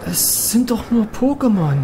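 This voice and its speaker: A man's voice: high-pitched, sing-song, a little slow, mellow, a little hiss or hum, full character voice. A man playing a haunted, desperate young monster trainer, telling his story in a horror audio drama. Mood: frightened. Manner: dramatic, anguished. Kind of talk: first-person horror audio drama narration.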